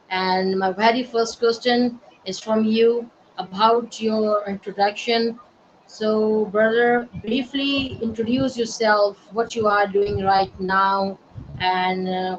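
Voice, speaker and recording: A woman hosts an online interview, thanking her guest often and working through her questions in an unhurried, halting way. Her pitch is ordinary and her speech is very slow. The sound is muffled call audio with a faint hiss underneath. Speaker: host.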